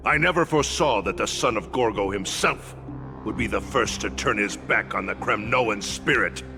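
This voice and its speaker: An actor playing a stern old warrior retainer in a video game, proud and scornful, with a gravelly voice and grave, formal delivery. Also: male voice, lowish voice, over music, lightly acted. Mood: stern, reproachful. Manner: grave, formal, deliberate oratory. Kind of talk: dramatic video game acting.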